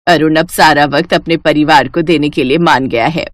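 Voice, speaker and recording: Explaining mother-in-law, played by a woman, low-key cartoon drama. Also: steady tone, normal speed, no echo, lightly acted. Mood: confessing, relieved. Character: earnest, explaining mother-in-law in a family tale. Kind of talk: Hindi cartoon story voice acting.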